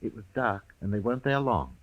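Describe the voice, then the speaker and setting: A man's voice: lowish voice, sing-song, fairly quick, dull audio. Odd, insistent frontier whiskey salesman. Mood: earnest, secretive. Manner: rambling, insistent, ominous. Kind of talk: 1950s radio western acting.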